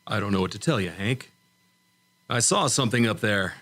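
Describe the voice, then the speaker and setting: An actor playing an earnest, shaken male rocket pilot in a science fiction radio drama, halting and pleading as he insists on his warning. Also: fairly low pitch, lively intonation, fairly bright tone.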